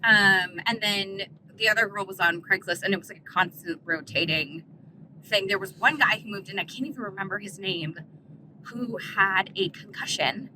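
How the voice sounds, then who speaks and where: A woman's voice: medium pitch, moderate pace, bright, forceful tone. A woman guest telling a story in a car, hesitant, with many fillers. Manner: hesitant, lots of "um" and "like". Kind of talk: casual storytelling. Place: inside a car. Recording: noisy car interior.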